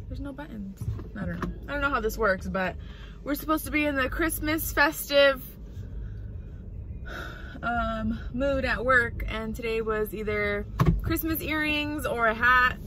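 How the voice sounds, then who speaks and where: A young woman chatting casually to her camera from the driver's seat, a bit husky and rambling, laughing at herself. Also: sharp tone. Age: young adult. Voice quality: husky, slightly low voice. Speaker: vlogger. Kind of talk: casual driving vlog. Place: inside a parked or moving car. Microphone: phone camera on the dashboard. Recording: distant phone mic with road and car noise.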